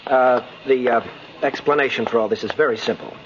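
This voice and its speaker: A man's voice: medium pitch, fairly even intonation, a little fast, close to an everyday voice. An actor playing an easygoing, wryly frank man with a casual manner in a 1950s radio drama. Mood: sheepish. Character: easygoing gentleman friend, apologetic yet wryly frank. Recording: muffled, noisy old radio recording.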